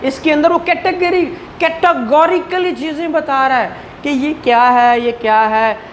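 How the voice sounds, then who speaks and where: A Pakistani-accented woman teaches in a lecture style with a fairly high voice. She explains conversationally, putting questions to her listeners and slipping into English terms. There is light noise behind her. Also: unhurried. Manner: conversational, frequent rhetorical questions and acted-out dialogue; code-switches into English terms. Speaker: law lecturer. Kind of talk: classroom lecture with questions to students.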